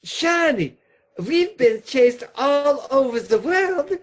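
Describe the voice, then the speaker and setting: A woman's voice: high voice, lively intonation, slow. A woman playing a nervous, wheedling male doctor, a criminal's hesitant sidekick in a radio play. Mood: wheedling, anxious. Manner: hesitant, pleading.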